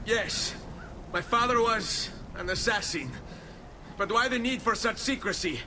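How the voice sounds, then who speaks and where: An eager young Italian nobleman turned apprentice assassin in a video game drama. The voice is fairly high for a man, earnest and intense, with an Italian accent. Noticeable noise runs behind it. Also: unhurried. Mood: puzzled, intent. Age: young adult.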